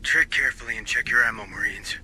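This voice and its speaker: A man voicing a gruff, commanding marine sergeant in a video game, giving terse, authoritative military orders. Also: mid-range voice, quick pace, pushed and bright, slight background noise, low-quality recording. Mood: commanding, firm. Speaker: squad leader.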